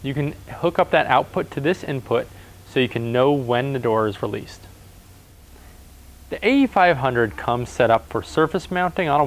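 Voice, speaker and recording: A man presenting a product overview in a matter-of-fact, instructional way. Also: medium pitch. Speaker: product presenter for a door-access installer.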